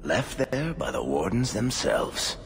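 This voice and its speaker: An actor playing a sly, theatrical storyteller in a fantasy game. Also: male voice, on the low side, very animated, measured pace, slight background noise. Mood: sly, knowing. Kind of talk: fantasy video game acting.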